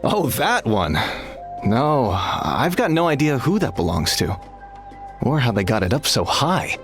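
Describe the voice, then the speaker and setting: A man's voice: medium pitch, very animated, measured pace, faint music behind. An actor voicing a nervous, chatty male technician in a video game who rambles through his plan. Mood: puzzled. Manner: rambling, relieved.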